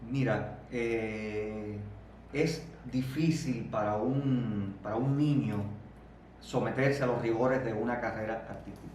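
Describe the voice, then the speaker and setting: A Cuban man in his thirties, guest in an interview, talking slowly and reflectively with frequent repeated words and pauses. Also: on the low side, steady tone, darkish tone. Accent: Cuban Spanish. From Cuba. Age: 30s. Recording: echoey room, distant mic, faint noise.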